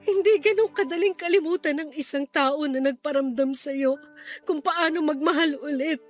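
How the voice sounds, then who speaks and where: A woman's voice: high voice, moderate pace, faint music behind, close to an everyday voice. A woman playing a heartbroken mother who clings to a lost love, anguished and tearful, in a radio drama. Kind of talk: Tagalog radio drama acting.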